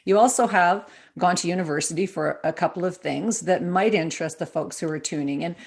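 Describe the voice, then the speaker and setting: A woman's voice: fairly low pitch, brisk, vocal fry. A woman hosting a casual interview, speaking in an informal, affirming and conversational way. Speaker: interview host.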